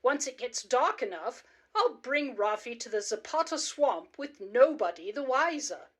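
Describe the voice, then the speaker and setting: A woman's voice: on the high side, animated, unhurried, breathy edge. A woman playing a brash, determined, reckless woman zookeeper in a radio play, with an emphatic, theatrical delivery. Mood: brash, confident.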